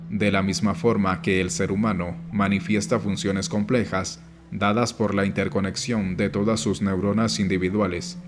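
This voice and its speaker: A man narrating a nonfiction audiobook in a measured, formal reading style. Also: fairly low pitch, a little hiss or hum. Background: background music.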